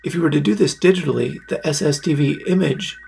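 A man in his forties with a husky, slightly gravelly voice, narrating an explanatory tutorial. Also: fairly high pitch, fast, darkish tone, a little hiss or hum. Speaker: video maker presenting a tutorial.

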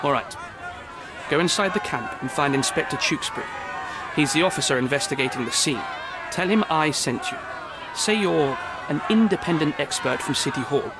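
An actor playing a harried, defensive city hall official in a video game, speaking formally and precisely. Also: male voice, fairly high pitch, lively intonation, normal speed, a loud crowd, heavy background noise, natural voice. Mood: resigned, explanatory. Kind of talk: video game cutscene acting.

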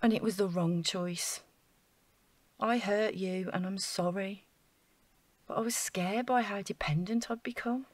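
A woman's voice: medium pitch, a little mellow, no echo, natural voice. An actress playing an anxious, vulnerable woman in a naturalistic audio drama, hesitant and emotional, with sighs. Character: Rachel, anxious, vulnerable young woman, apologetic and earnest.

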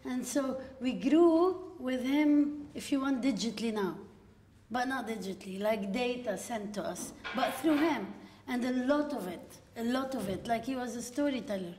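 A woman in her forties from Lebanon, a filmmaker guest at a live panel, telling stories in a lively way with quoted asides. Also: a little high, normal speed, a little hiss or hum. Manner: lively storytelling with frequent laughs and quoted asides. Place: gallery room with seated audience. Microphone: stand mic at a distance. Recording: echoey room from a stand mic.

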